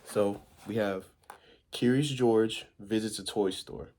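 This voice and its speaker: A man's voice: lowish voice, fairly even intonation, slow pace, a little mellow, slight background noise. Man reading a picture book aloud. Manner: informal, stumbles over words while reading. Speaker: volunteer story reader.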